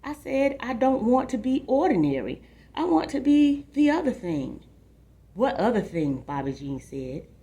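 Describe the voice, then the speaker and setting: A woman's voice: a little high, big pitch swings, a little slow, mellow, husky. A woman hosting a book program for children, explaining things directly to young listeners and reading aloud. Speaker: library book show host. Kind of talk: informal book talk and read-aloud for children.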